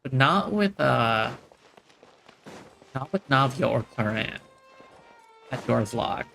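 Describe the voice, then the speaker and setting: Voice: medium pitch, expressive, slow pace, vocal fry, a bit bright. A streamer giving casual game commentary, chatty and musing aloud, often trailing off. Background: faint game music.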